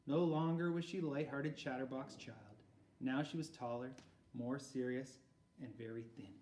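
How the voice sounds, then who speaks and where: A man narrating a storybook aloud in an expressive read-aloud style. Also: medium pitch, moderate pace, a little hiss or hum. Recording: roomy echo, far from mic.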